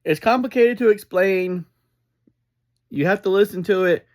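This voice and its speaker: A man giving a casual fan review as a solo podcast reviewer, speaking in halting, broken phrases. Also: high voice.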